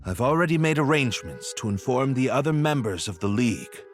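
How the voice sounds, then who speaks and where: A man's voice: mid-range voice, animated, a little fast, soft background music, lightly acted. A man playing a self-assured, shrewd royal strategist in a video game, speaking in formal, eloquent phrases. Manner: formal, eloquent, smooth-talking. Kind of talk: dramatic game cutscene acting.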